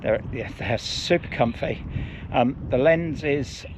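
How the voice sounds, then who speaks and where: A man's voice: mid-range voice, takes it slowly. A man co-hosting a casual product review vlog, chatting in an easygoing way and laughing often while out on a bike ride. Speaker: vlog co-host. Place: outdoors on a hillside on a bike ride. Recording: outdoor noise behind.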